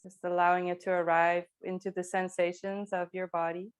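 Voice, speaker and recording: A woman teaching yoga, giving calm, unhurried and gently encouraging guidance through movement and breathing. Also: on the low side, smooth. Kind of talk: guided slow movement and breathing instruction.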